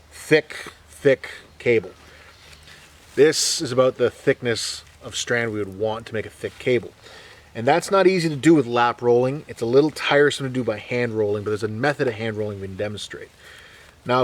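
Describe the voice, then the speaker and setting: A man giving a relaxed, joking bushcraft lesson, explaining each step in plain words as he demonstrates. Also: medium pitch, distant mic. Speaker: bushcraft instructor. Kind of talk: hands-on outdoor skills demonstration.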